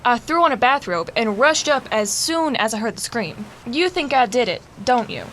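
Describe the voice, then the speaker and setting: A woman's voice: fairly high pitch, animated, moderate pace, a bit bright, slight background noise. A woman playing a haughty, snappish ex-wife under suspicion in a murder mystery radio play. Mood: defensive. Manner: indignant, snappish. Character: haughty, impatient wealthy ex-wife, a murder suspect.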